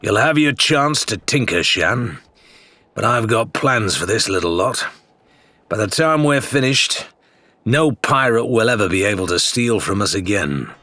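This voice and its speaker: A man voicing a gruff, forceful pirate captain in an audiobook, with a commanding, snappish manner. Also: lowish voice, animated, measured pace, no room sound, full character voice.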